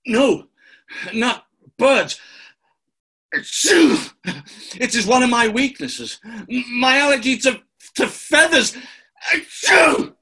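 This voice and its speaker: A man playing a pompous, gloating gangster boss with a gravelly voice in a radio play. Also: very high voice, bright, forceful tone, heavily acted. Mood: panicked. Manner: slow, grandiose, theatrical. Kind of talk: 1940s-style radio play acting.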